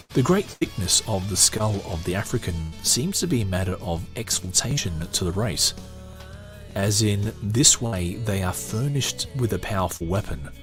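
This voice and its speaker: A man hosting a podcast, chatting with many hesitations, then reading a book aloud in a steady, measured way. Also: lowish voice. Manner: hesitant, filler-heavy chat between measured reading. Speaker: podcast host. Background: faint guitar music.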